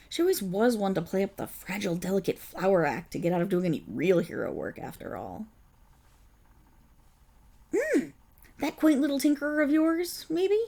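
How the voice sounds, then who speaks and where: A woman playing a silky, gravelly villain boss. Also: medium pitch, darkish tone, exaggerated voice. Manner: silky, condescending, purring endearments. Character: suave, scheming villain boss, possessive and protective. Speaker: voice actor. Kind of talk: ASMR roleplay audio drama.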